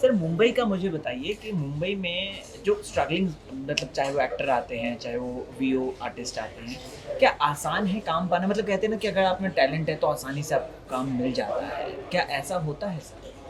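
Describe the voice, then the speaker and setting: Male host, Indian accent, slightly high pitch, respectful conversational questioning, busy background voices. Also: expressive, normal speed, crowd noise, low-quality recording. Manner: deferential, often addressing the guest respectfully. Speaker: interviewer and host. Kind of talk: conversational celebrity interview.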